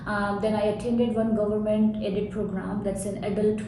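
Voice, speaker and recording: Loud, animated woman professor from India. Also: medium pitch. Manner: loud, animated, laughs often, quick bursts. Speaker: college professor. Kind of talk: candid interview answers. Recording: echoey room with background noise.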